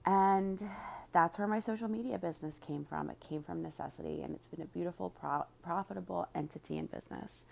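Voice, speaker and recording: A woman hosting a podcast, speaking directly to her listeners in a slightly raspy voice. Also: fairly low pitch, fairly quick, a little mellow, slight background noise. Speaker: podcast host and business coach. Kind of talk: podcast monologue, promotional then casual chat.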